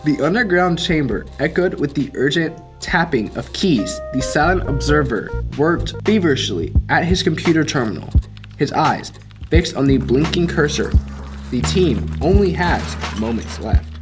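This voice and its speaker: A man's voice: a little high, animated, moderate pace, music in the background. A young man giving dramatic scripted narration as the narrator of a radio mystery. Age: young adult.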